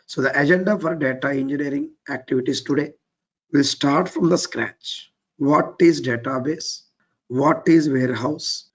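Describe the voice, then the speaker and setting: A man with an Indian English accent teaching a live technical class. His voice is weak and low, and he keeps repeating his cues to move on step by step. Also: moderate pace, breathy edge, muffled recording. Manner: repetitive, keeps saying "let's get started, step by step". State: voice a bit weak and low from overuse. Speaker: trainer.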